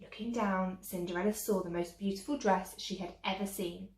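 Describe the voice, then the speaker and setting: A woman's voice: medium pitch, steady tone, moderate pace, a little mellow, a little hiss or hum. A woman storyteller reading a children's tale expressively, like a teacher to young listeners. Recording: echoey room.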